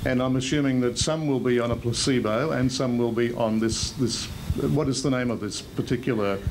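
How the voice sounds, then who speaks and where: A man's voice: medium pitch, moderate pace, low-quality recording. A man working as a news reporter, interviewing people on location. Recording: slight background location noise.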